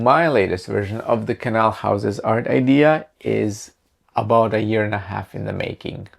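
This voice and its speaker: Gravelly man narrating a wry vlog. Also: fairly low pitch. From Croatia. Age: 30s. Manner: wry, self-mocking. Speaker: creative channel host.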